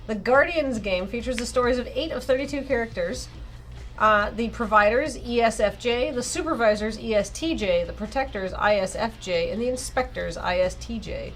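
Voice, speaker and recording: A woman's voice: a little high, normal speed, faint music behind. A woman co-hosting a game stream, chatting casually and reading on-screen text aloud. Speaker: streamer and co-host.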